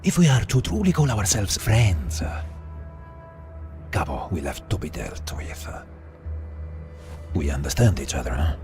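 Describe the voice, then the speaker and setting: Suave Italian mafia kingpin, low gravelly voice, hugely animated delivery, silky and insinuating, video game drama. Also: male voice, measured pace, long pauses, faint music behind, exaggerated voice. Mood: quietly menacing. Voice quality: gravelly, creaky.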